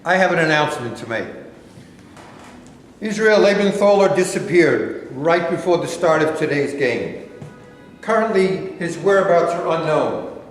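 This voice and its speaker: A man reads a careful coach who dodges questions. His voice sits a little high. It sounds far off and echoey. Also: lively intonation, soft background music. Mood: guarded. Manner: measured and guarded. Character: guarded man fielding the press. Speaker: actor in a staged reading. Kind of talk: staged play reading.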